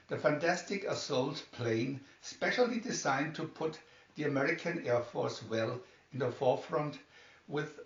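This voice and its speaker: A man narrating a scripted adventure story, reading it aloud as a storybook narrator. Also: medium pitch. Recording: roomy, distant, slightly dull home recording.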